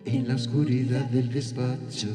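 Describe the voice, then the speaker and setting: A man's voice: medium pitch, level tone, measured pace. A man half singing, half speaking a comic song accompanied by guitar. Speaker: singer. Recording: echoey hall, loud guitar music. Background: plucked guitar music.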